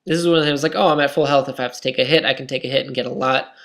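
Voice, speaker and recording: A man's voice: mid-range voice, fairly even intonation, rapid-fire, creaky, a bit bright. A young man commentating his own online game match in a casual, self-critical, rambling way, his voice tired and scratchy. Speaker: gaming commentator.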